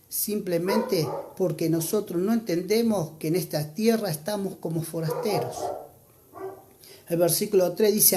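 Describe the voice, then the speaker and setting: A preacher with an Argentine accent, giving an earnest church sermon and reading scripture aloud, slowing down to stress key points. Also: medium pitch, darkish tone, slight background noise. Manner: earnest, exhorting, slows down for emphasis.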